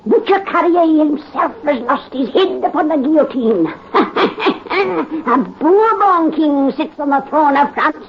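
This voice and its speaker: A cackling old witch savors every word of her tale in a high, drawn-out voice. She speaks very slowly and with relish. It is an old 1930s radio recording, dull and faintly hissy. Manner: slow, cackling, relishing the horror. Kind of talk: 1930s radio drama narration.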